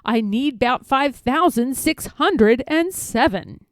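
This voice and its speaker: Woman playing a boastful turtle king, imperious, bellowing, animated high pitch, storybook rhyme. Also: slow, no echo, clean studio sound. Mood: indignant, defiant. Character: pompous, bossy, boastful male turtle king. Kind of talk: rhyming storybook read-aloud.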